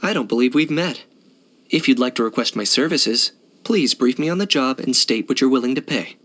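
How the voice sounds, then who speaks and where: An actor playing a cool, pragmatic young hunter for hire in a video game, deadpan, matter-of-fact and laconic. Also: male voice, medium pitch, fairly quick. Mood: businesslike. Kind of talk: video game character voice lines.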